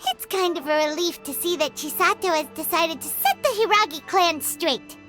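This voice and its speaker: A woman voicing a tiny, excitable, childlike fairy girl companion in a fantasy game, squeaky and chatty. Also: normal speed. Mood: impressed. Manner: chatty, refers to self by name. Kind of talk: scripted fantasy game voice acting. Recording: prominent music behind the voice.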